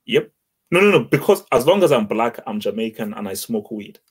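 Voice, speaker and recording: A man from Nigeria with a Nigerian accent, co-hosting a casual football chat, blunt and animated, often repeating words as he gets going. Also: medium pitch, fairly quick, slightly rough. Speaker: football podcast co-host.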